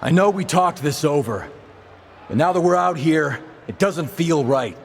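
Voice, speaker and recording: A man's voice: on the high side, lively intonation, measured pace, slight background noise. A man playing a reluctant, pleading champion wrestler in a video game cutscene. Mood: hesitant pleading.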